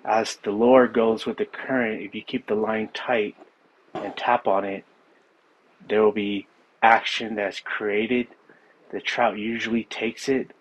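A man explaining his fishing trip and gear in a relaxed, casual way on a snowy riverbank, recorded on a body camera. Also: fairly low pitch, unhurried, low-quality recording. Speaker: fishing vlogger. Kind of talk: casual outdoor narration.

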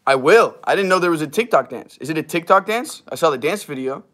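Young man with a slightly hoarse voice chatting on a livestream. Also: a little high, expressive, fast, fairly bright tone. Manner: rambling, laughing, chatty with fillers. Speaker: livestream host. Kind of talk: casual livestream chat reading comments. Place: small wood-paneled garage room, indoors. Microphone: device camera at arm's length.

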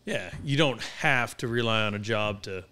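A man's voice: lowish voice, moderate pace, sharp tone, clear, resonant tone. A man of thirty-nine chatting casually as a podcast guest, blunt and joking with plenty of swearing, close on the mic. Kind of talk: casual chat. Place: garage workshop, indoors. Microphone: podcast microphone on boom arm, close.

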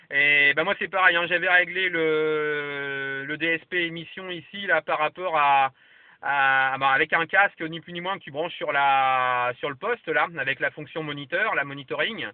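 A man's voice: a little high, dry recording. A man chatting casually as a radio operator and giving signal reports. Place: parked vehicle. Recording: lo-fi camera audio, muffled, dry.

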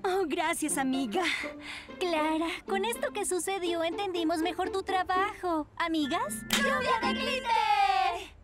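A woman's voice: very high-pitched, a little slow. A woman voicing a gushing, starstruck teenage girl in a cartoon dub. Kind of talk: animated cartoon dub acting. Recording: loud music behind.

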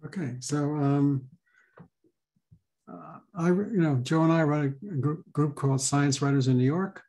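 A man hosting a talk over a video call, speaking haltingly with many pauses and ums. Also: a little high, darkish tone, low-quality recording. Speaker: host and co-chair.

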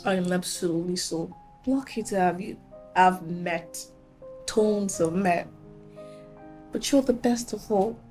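A woman's voice: fairly low pitch, a little slow, long pauses, darkish tone, soft background music, lightly acted. A woman acting in a film drama as an adoring girlfriend, affectionate, coy and playful. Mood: tender, playful.

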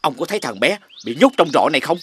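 Grumbling cartoon uncle, quick and lively delivery, stern, music behind. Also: male voice, on the high side, breathy edge. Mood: worried. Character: stern, grumbling village uncle, fed up with his nephew. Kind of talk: animated fairy-tale cartoon acting.

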